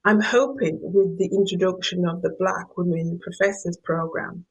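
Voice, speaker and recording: A woman's voice: on the low side, fairly even intonation, moderate pace, slightly rough, poor audio. A middle-aged woman from Yorkshire with a Yorkshire accent gives an academic lecture, often repeating words as she searches for the next phrase. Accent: Yorkshire English. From Yorkshire, England. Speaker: professor giving a guest lecture.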